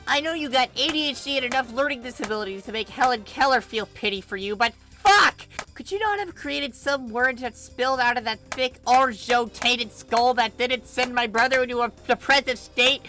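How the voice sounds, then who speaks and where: A woman's voice: on the high side, normal speed, hardly any pauses, bright, forceful tone, soft background music, pitched above the performer's own voice. A woman voicing a furious, protective older sister, scolding with cutting sarcasm and emphatic stress.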